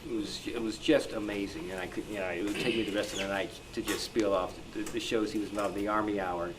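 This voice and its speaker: A man speaking off the cuff in a group discussion, haltingly searching for his words. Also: on the low side, steady tone, noisy background. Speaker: club discussion participant. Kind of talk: casual, unscripted discussion.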